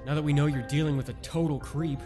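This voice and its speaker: An actor voicing a pushy young cadet who talks quickly in a fan-made parody drama. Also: mid-range voice, fairly even intonation, music in the background, lightly acted.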